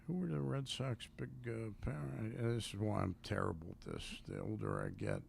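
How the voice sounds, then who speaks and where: Gravelly older American man. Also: fairly low pitch, huge pitch swings, fairly quick, a little mellow, a little hiss or hum. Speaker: radio show co-host. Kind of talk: casual sports chat.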